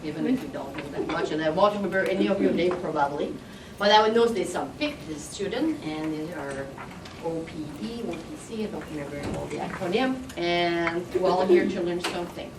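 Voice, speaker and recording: A woman's voice: lowish voice, expressive, brisk, few pauses. A woman whose first language is French, speaking with a French Canadian accent, giving a chatty conference presentation and searching for words now and then. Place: conference room. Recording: echoey hall, distant mic, audience noise.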